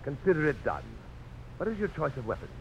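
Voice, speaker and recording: An actor playing a haughty, hot-tempered aristocratic villain in 1950s radio drama, with clipped, taunting delivery. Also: male voice, fairly high pitch, huge pitch swings, quick pace. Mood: indignant. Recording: noisy old radio broadcast.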